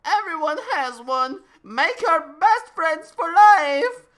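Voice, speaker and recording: A man's voice: extremely high pitch, lively intonation, slow, fairly bright tone, pitched above the performer's own voice, full character voice. A man voicing an odd, eager old scientist from an absurd comic story, speaking in broken, off-kilter exclamations. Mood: manic, shouting.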